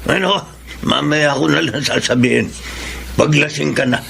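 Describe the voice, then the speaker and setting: A man playing a bossy older father with a Filipino accent in a radio drama, with a gravelly, sharp voice and a domineering way of talking. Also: a little high, normal speed, a little hiss or hum. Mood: scheming. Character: bossy, hard-drinking older father, jovial yet stern.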